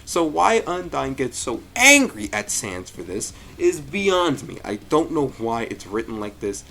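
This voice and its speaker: A man giving a casual, jokey review commentary as the host, with animated delivery and frequent asides. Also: mid-range voice, measured pace, gravelly. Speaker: host of a fan story review show. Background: music.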